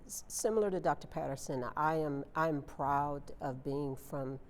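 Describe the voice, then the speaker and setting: A woman answering in a casual panel conversation, with deliberate, halting phrasing. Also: low-pitched, darkish tone. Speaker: interviewee. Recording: echoey hall with faint noise.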